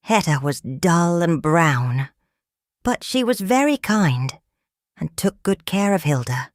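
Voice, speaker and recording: Lady reading kids storybook, calm and slow, lowish voice, really dry close sound. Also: no room sound. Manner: calm, measured, expressive storytelling. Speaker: storyteller reading a picture book aloud. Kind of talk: children's read-aloud narration.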